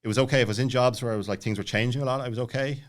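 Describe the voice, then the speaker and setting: A man's voice: medium pitch, steady tone, very fast. A man in his late thirties telling a personal story casually, rambling and jumping around with lots of filler words. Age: late 30s. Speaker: podcast guest.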